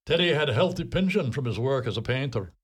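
An actor playing a measured, officious Irish small-town postmaster in a radio play, with a formal, solemn manner. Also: male voice, medium pitch, animated, breathy edge.

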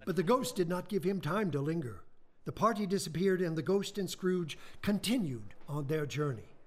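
Man, narrator, slightly high, husky voice, solemn storybook telling. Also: animated, moderate pace, a little mellow.

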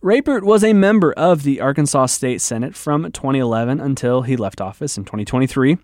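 A man's voice: mid-range voice, animated, fairly quick, darkish tone, no room sound, studio quality. An American man working as a radio reporter, reading scripted news narration and putting questions to interviewees.

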